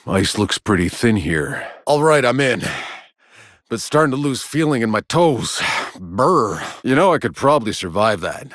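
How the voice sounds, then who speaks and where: A man's voice: medium pitch, big pitch swings, a little slow, fairly bright tone, no room sound. A man playing a cynical, tough soldier in a sci-fi video game, with a gritty voice and dry wisecracks. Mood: dry, sardonic. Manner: sardonic, swearing, dry wisecracks. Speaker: video game player character voice. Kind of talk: scripted video game voice lines and combat barks.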